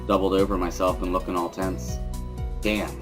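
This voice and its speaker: A man with a low-pitched voice reads a visual novel in the first person. He narrates it as a dramatic reading, with music playing behind him. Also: flat delivery, normal speed.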